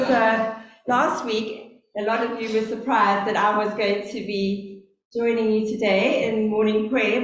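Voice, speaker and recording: South African woman preaching in an echoey church. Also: a little high. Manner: earnest, storytelling, speaks slowly on purpose. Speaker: lay preacher. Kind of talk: sermon. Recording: very echoey church, faint hum.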